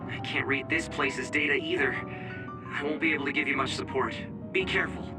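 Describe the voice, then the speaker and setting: A man's voice: fairly high pitch, steady tone, brisk, lightly acted. A man playing a casual, plainspoken young mission-support operator in an anime-style game. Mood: concerned. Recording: slightly distant voice over music.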